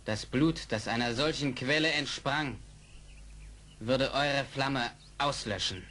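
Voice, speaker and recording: Defiant young warrior, sharp highish voice, declaiming, noisy old radio drama. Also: male voice, takes it slowly. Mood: proud, defiant. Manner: declamatory, ceremonial. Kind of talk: 1970s German radio play acting.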